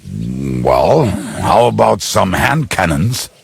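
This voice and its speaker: Game casting: a cheeky, boozy schemer, very raspy and creaky, rambling with wild swings. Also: male voice, deep voice, very animated, slow pace, no room sound, heavily acted. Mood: wry, rambling. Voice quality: gravelly, husky. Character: boozy middle-aged ruffian, cheeky schemer. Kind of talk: video game character acting.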